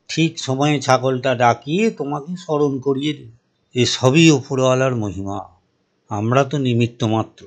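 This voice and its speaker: A man's voice: medium pitch, close to an everyday voice. A man voicing a sanctimonious, sweet-talking older village moneylender with an unctuous, preachy way of speaking, in a cartoon. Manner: unctuous, pious, chanting devotional phrases. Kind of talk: Bengali cartoon voice acting.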